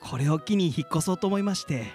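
A young husband-to-be, acted by a man in a comic radio drama, courteous but quick to object. The pitch sits fairly high and moves in a lively way over faint music. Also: natural voice. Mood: polite, bashful. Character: young husband-to-be, polite exasperated straight man.

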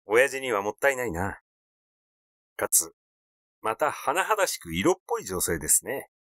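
A man's voice: medium pitch, big pitch swings, normal speed, a bit bright, no room sound, studio quality. A man voicing a flippant, gossiping young man in audiobook dialogue, glib and teasing. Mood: amused, glib.

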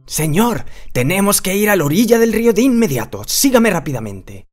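A clever, confident talking tomcat acted out by a performer from a children's cartoon. He speaks with courtly persuasion in a very lively mid-pitched voice, over light music. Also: moderate pace. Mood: urgent, commanding. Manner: persuasive, formal, courtly address. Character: clever, confident talking male cat servant. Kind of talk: children's cartoon character acting.

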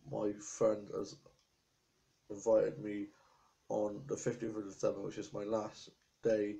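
A man's voice: on the low side, vocal fry, mellow, rough recording. A chatty young man from England rambling to a webcam about his week, with a slight sore throat. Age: young adult. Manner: chatty, rambling, stream of lists. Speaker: vlogger. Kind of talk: casual chat to camera. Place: small indoor room with appliances. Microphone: webcam at desk.

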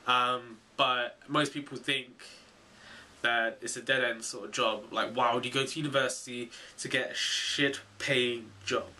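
A young man in his twenties talks to his camera in a small bedroom, his voice very bright and lively. He rambles casually, correcting himself as he goes. The camera sits a little back from him, and a faint hiss hangs under his voice. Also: mid-range voice, measured pace, far from the mic. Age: 20s. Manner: chatty and animated, many fillers and self-corrections. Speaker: vlogger, zoology student. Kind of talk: casual vlog chat to camera.

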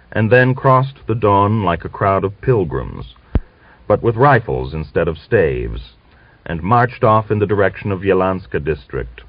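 Male audiobook narrator, low register, close and dry, on a slightly dull older recording. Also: no room sound. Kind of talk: scripted literary narration.

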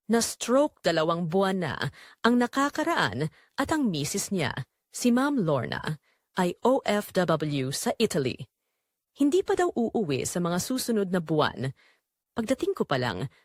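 A woman's voice: fairly low pitch, dry recording, clean studio sound. A woman with a Filipino accent narrating a scripted first-person drama as an earnest young caregiver confessing her inner turmoil.

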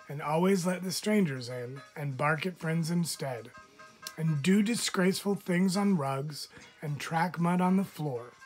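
A man, a teacher, reading children's animal poems aloud in a measured, expressive storytelling manner. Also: a little high.

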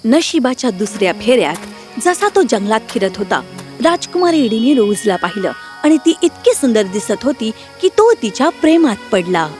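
A woman's voice: on the high side, sing-song, moderate pace, a little mellow, soft background music. A woman narrating a scripted fairy tale as the storyteller.

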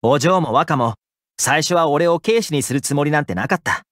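Casting: young male game housekeeper, caring and upbeat, fairly high-pitched, lively intonation, dry studio sound. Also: normal speed, dry recording. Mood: earnest, friendly. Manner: casual, gently attentive, expressive. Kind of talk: Japanese anime game character voice lines.

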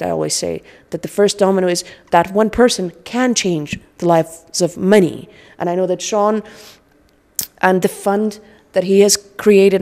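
A woman in her forties from Afghanistan giving earnest, halting interview remarks into a handheld microphone. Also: lowish voice, dark tone, big-room sound. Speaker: interview guest, humanitarian. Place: indoor lounge with sofas.

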